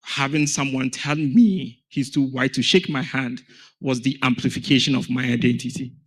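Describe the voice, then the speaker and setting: A man's voice: mid-range voice, fairly even intonation, normal speed, husky. A man from Ghana speaking as a panel guest, telling his story in an earnest, emphatic way. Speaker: panel guest, founder of an education organization. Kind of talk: panel conversation.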